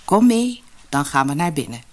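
A woman voicing a stern, mysterious old woman in a fairy tale, speaking gravely and with command. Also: deep voice, animated, moderate pace, a little mellow. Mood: solemn.

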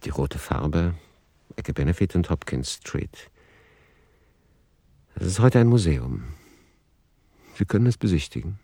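Deep, dry-recorded analytical male author. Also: big pitch swings, measured pace, pauses often, warm tone, dry recording, heavily acted. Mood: sober, reflective. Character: male author soberly commenting on his own manuscript. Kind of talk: essayistic literary commentary in radio play.

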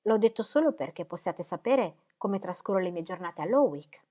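An earnest, pious young woman speaks in a raised, brighter voice than the reading around her. She sounds sincere and soft-spoken, turning fervent as she explains her faith, as a woman narrator puts her on in a storybook reading. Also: lowish voice, expressive, moderate pace.

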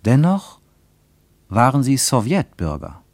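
A man playing an earnest, contemplative narrator in a literary radio play, phrasing deliberately and rhetorically. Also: medium pitch, very animated, a little mellow, no echo.

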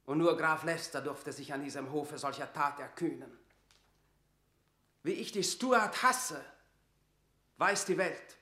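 An actor playing a haughty, scheming earl in a classical verse radio drama, declamatory and pompous. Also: male voice, on the high side, a little slow, pauses often, pushed and bright, reverberant. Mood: proud, defensive. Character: haughty, scheming earl, a self-assured courtier.

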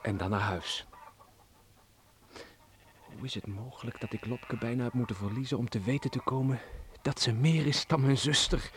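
A man's voice: medium pitch, normal speed, close to an everyday voice. An actor playing a kindly, reserved young village man in a Dutch radio play, understated and reassuring. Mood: relieved, tender. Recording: noisy old radio drama recording.

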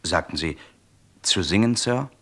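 Low-voiced, dry police inspector. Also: male voice, expressive, unhurried, fairly bright tone, slightly rough, exaggerated voice. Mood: polite, puzzled. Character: obliging male police inspector, dry and matter-of-fact. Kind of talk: German radio crime drama acting.

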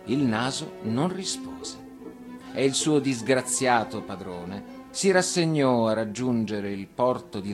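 Male actor for expressive fairy-tale narration, very animated intonation, light music underneath. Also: mid-range voice. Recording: radio reading over music.

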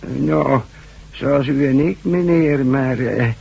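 An actor playing a friendly hundred-year-old village man who rambles and hesitates in a shaky, husky, creaky voice, in a radio drama. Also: mid-range voice, a little mellow, dull audio, heavily acted. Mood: awed, halting. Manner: halting, hesitant, rambling storytelling.

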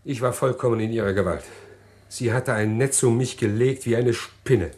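An actor playing an evasive, well-spoken gentleman criminal in a German radio crime play, speaking in a formal, hesitant, self-justifying manner. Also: mid-range voice, moderate pace, close to an everyday voice. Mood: troubled, confessing.

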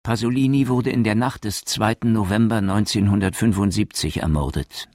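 A man's voice: on the low side, moderate pace, a little mellow, lightly acted. A man as a documentary narrator in a radio play, reading scripted reports soberly and factually.

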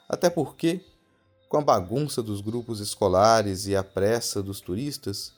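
A Brazilian man narrating a scripted horror story as a podcast storyteller, in a measured, literary, suspenseful manner. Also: lowish voice, a little mellow. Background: music.

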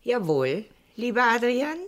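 An actress playing a stern, indignant elderly aunt, formal and easily exasperated, in a German radio play from around 1960. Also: female voice, a little high, big pitch swings, measured pace, fairly bright tone. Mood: coldly resolute. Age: older.

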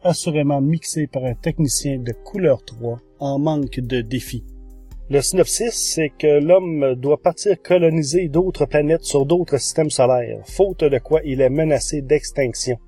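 Higher-pitched man hosting a podcast. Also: a little slow, mellow, slightly rough, soft background music. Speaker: podcast host. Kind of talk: podcast monologue reviewing audio shows.

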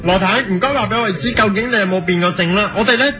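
A man's voice: high voice, fast talker, over loud music, lightly acted. An actor with a Hong Kong Cantonese accent playing an overzealous, self-important young male TV reporter in a comic radio drama. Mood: pushy, insistent. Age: young adult. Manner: pompous, earnest, pestering.

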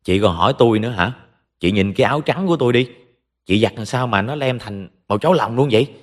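A spoiled young Southern Vietnamese woman acted out by a male storyteller, pitched high and shrill. She scolds and accuses in furious, indignant bursts, with long reverb around the voice. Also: expressive, fast, lightly acted.

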